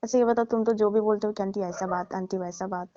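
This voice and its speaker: A woman's voice: medium pitch, fairly even intonation, fast, background noise, close to an everyday voice. Woman acting a coaxing married lover, muffled call. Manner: coaxing, teasing, placating. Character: married older lover coaxing her sulking younger man. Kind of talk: scripted prank-style phone call drama in colloquial Hindi. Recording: dull lo-fi phone call recording.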